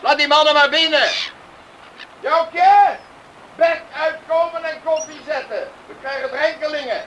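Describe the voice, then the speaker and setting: Set away from the mic in a faintly noisy recording, a domineering farmer father. His voice is high and sharp, and he talks in brusque, scolding commands, as in a radio play. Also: measured pace, far from the mic. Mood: gruff, commanding. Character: gruff, domineering farmer father, quick to bark orders. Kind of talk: Dutch radio drama acting.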